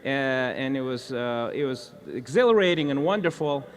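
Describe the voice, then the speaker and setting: A man from Russia, interviewing a fellow minister in a friendly, halting way with many restarts. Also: medium pitch. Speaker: interviewer, evangelist. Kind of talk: conversational interview.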